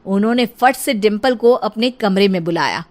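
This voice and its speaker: A woman's voice: mid-range voice, normal speed. A woman narrator telling a scripted comic story in storytelling style.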